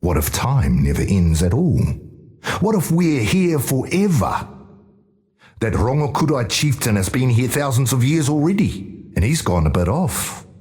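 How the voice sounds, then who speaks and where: A man's voice: medium pitch, very animated, measured pace, a little mellow. A man playing a bitter, restless dead tribesman in a fantasy game, sardonic and agitated. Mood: anxious, defiant.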